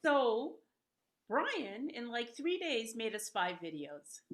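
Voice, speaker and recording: Host, woman, bright and slightly high voice, enthusiastic and promotional, on a video call. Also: sing-song, slow, slight background noise. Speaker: host and founder of a theater. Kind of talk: hosting a live video-call event.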